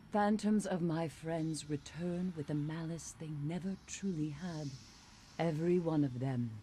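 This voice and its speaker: A woman's voice: low voice, mellow, a little hiss or hum. An actress playing a grave, haunted woman mystic in a video game, speaking in a solemn, cryptic, theatrical way. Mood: haunted, resolute. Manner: solemn, theatrical, deliberate delivery. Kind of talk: dramatic video game voice acting.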